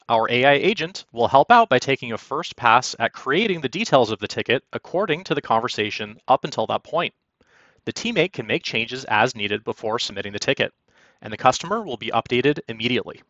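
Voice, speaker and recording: A man presenting a software walkthrough as polished, instructional scripted narration. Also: mid-range voice, dry recording, dull audio. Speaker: product demo presenter. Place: quiet, dry room, recorded as voice-over.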